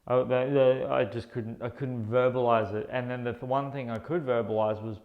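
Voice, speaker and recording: Man, mid-thirties, gravelly voice, earnest and halting vlogger, mid pitch. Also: steady tone, narrow, tinny audio. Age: 30s. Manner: earnest, halting, many restarts. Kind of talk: personal health vlog. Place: indoors under LED lights.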